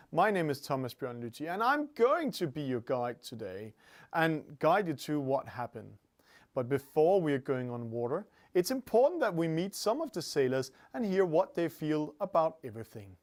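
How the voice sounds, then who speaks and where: A man presenting and guiding viewers through a youth sailing championship show in a lively, welcoming manner. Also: on the high side. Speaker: regatta show host. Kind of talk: scripted broadcast presenting.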